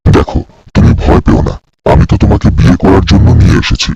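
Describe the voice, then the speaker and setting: Scary but kind monster for a kids cartoon, really low dark husky voice. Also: male voice, steady tone, fast, dry recording, lo-fi sound, exaggerated voice. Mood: gently reassuring. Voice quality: gravelly, husky. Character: fearsome but soft-hearted male pishach monster. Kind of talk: Bengali fairy-tale cartoon dubbing.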